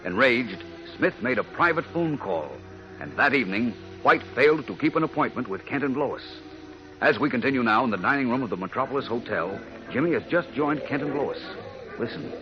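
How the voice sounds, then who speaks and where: A man's voice: medium pitch, lively intonation, fairly quick. A man narrating a 1940s radio serial in a dramatic, declamatory announcer's style. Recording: dull old radio broadcast recording. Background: music.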